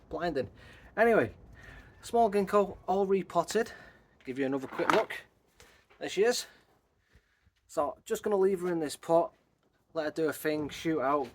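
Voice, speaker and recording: A man with a croaky voice talking casually through his bonsai work as host of his channel, thinking aloud as he goes, outdoors. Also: a little high, a little hiss or hum. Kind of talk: casual hands-on tutorial talk.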